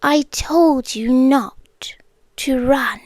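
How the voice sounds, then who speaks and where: A British woman playing an obsessive female monster in an intimate ASMR roleplay, speaking in measured, formal phrases that often drop into whispers. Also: high-pitched, no echo. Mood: wry, intimate. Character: obsessive yandere female monster with tail, claws and venom.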